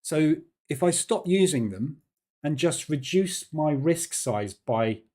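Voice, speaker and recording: A man's voice: fairly high pitch, animated, takes it slowly, mellow. A man hosting a live trading stream, talking casually and explaining things plainly, often checking in with "yeah.". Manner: chatty, explains plainly with "yeah" tag questions.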